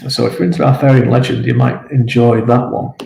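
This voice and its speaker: Male author hosting his own live stream from home: low-key, hesitant and chatty, with a dark, gravelly tone in a roomy space. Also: fairly even intonation, fairly quick, a little hiss or hum. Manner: rambling and hesitant with many fillers. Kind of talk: casual live-stream chat answering comments. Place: home, with his dog nearby. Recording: roomy echo with faint ambience.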